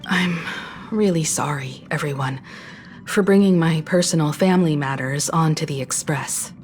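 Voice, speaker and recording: A woman playing a calm, poised mentor. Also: deep voice, normal speed, faint music behind, lightly acted. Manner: calm, composed, gently authoritative.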